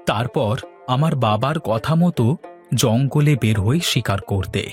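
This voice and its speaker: A man voicing a gentle, wronged young landlord's son who tells his tale earnestly in a cartoon. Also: medium pitch, expressive, measured pace, soft background music, lightly acted. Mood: earnest, sorrowful. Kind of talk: Bengali cartoon storytelling dialogue.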